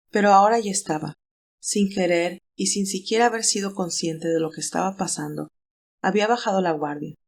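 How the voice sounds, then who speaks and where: A woman reading scripted, dramatic narration of a romantic story as its narrator. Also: lowish voice.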